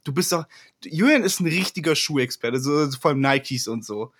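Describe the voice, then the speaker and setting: A young man co-hosting a podcast, rambling excitedly and often laughing. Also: a little high, fairly quick, slightly rough. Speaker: podcast co-host. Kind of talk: casual chat.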